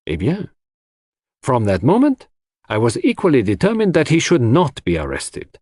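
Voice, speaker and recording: A shrewd foreign detective in a mystery audiobook, acted out by a male narrator, lecturing with great self-assurance. The voice is husky and theatrical, leaping up and down as he patiently lays out his reasoning, in a dry recording. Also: medium pitch, wildly swooping pitch, normal speed, darkish tone, no room sound. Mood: explaining, assured. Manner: theatrical, didactic, sprinkles French phrases.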